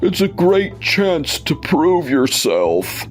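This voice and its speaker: A man playing a stern, measured company boss and father in a manga dub, speaking with authority. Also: on the high side, big pitch swings. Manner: deliberate, authoritative. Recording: music under the voice.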